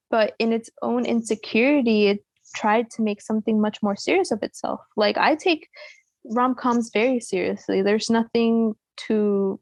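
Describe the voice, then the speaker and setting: A woman's voice: on the high side, vocal fry, a little mellow, dry recording. A woman chatting casually and opinionatedly about books as a podcast guest, often slipping in conversational asides. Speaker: book club podcast guest. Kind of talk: casual book discussion.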